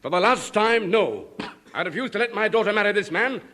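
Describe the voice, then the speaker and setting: Indignant, immovable father, fifties radio comedy; high-pitched, very animated. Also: a little fast. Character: indignant, stubborn middle-aged father refusing a match. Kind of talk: 1950s British radio comedy acting.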